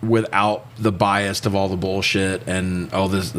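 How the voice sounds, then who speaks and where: A man's voice: low-pitched, little pitch movement, unhurried, a bit bright. A man co-hosting a podcast, chatting casually about movies in a blunt, sweary way. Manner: profane, blunt. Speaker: podcast co-host. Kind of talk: casual movie review chat.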